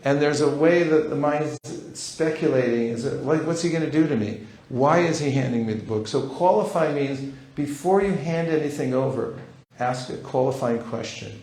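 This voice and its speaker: A man's voice: fairly high pitch, normal speed, hardly any pauses, darkish tone. A man leading a live seminar, teaching with a playful manner and acting out short dialogues. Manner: playful, acts out little dialogues. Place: large hall. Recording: roomy hall sound.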